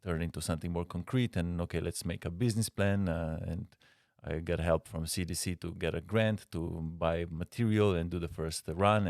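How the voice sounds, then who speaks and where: A man from Slovenia with a Slovenian accent, a guest designer answering interview questions in a halting way with frequent pauses. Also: deep voice, vocal fry, darkish tone, no echo, clean studio sound.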